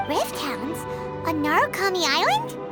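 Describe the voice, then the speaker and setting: A woman voicing a small childlike fairy girl in an anime game, squeaky, cutesy and feisty, with loud music behind her. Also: huge pitch swings, measured pace, full character voice. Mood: curious. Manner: cutesy, exclamatory.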